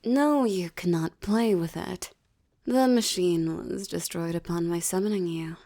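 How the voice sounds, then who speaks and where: Seductive demoness for audio roleplay, acted by a woman: lowish, creaky, ornate diction, teasing and menacing, dry intimate sound. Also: warm tone, dry recording. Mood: haughty. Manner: slow, breathy, formal and ornate diction, teasing. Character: seductive, menacing adult female succubus demon, teasing and possessive. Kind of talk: intimate audio roleplay, ASMR-like monologue addressed to listener.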